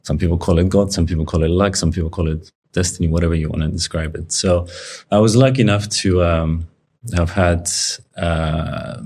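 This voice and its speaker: A young man who grew up in Cyprus, whose first language is Bulgarian, talking through his story in a relaxed, reflective way with lots of fillers. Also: low voice, moderate pace, husky. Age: young adult. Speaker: guest. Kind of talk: casual interview conversation.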